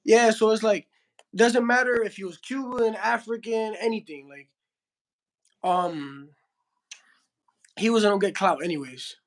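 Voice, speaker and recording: Young Miami heavyweight, Spanish first language, high voice, slangy casual interview talk full of restarts. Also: male voice, unhurried, long pauses. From Miami, Florida. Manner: slangy, says bro a lot, frequent restarts. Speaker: heavyweight boxer.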